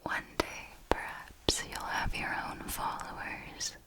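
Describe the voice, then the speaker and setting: Whispering, slow-paced vampire mentor played by a woman. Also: close to an everyday voice. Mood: hushed, instructive. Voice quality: breathy, hushed whisper. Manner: slow, deliberate, refined, instructive. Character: elegant, knowing female vampire mentor, patient and refined. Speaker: ASMR roleplay performer. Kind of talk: whispered ASMR roleplay, scripted monologue.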